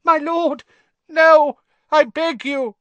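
A man's voice: very high voice, fairly even intonation, drawn-out words, a bit bright, no echo, pitched above the performer's own voice, heavily acted. A man voicing a cringing, terrified male servant in a storybook, gasping, wheezing and pleading. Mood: terrified, begging. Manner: grovelling, sobbing, pleading.

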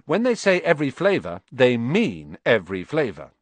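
A man's voice: mid-range voice, huge pitch swings, normal speed, no room sound. A man voicing a blunt, cheeky young schoolboy with an offhand way of talking.

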